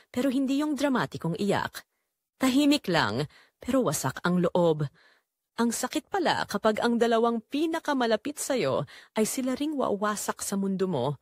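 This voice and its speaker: Female Filipino storyteller for audio drama, theatrical first-person read, highly animated pitch, very dry recording. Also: no room sound.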